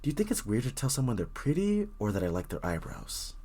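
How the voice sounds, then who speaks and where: A man's voice: lowish voice, huge pitch swings, fast talker, warm tone, exaggerated voice. A man playing a very shy, bookish young stranger in an intimate roleplay audio drama, stammering, rambling and apologising nervously as he addresses the listener. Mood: shy, warming up.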